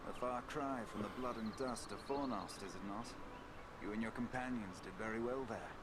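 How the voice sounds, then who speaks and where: An actor playing a courteous, serene elf warrior in a video game, speaking formally and graciously. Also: male voice, lowish voice, normal speed, heavy background noise, natural voice. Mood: gracious, calm. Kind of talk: video game cutscene dialogue.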